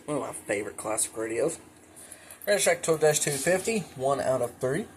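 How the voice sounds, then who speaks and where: A man's voice: mid-range voice, sing-song, fairly quick. A man giving a casual, folksy show-and-tell narration with muttered asides. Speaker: hobbyist showing a collection. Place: indoors at home. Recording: noisy home recording with radio chatter. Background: weather radios playing, background noise.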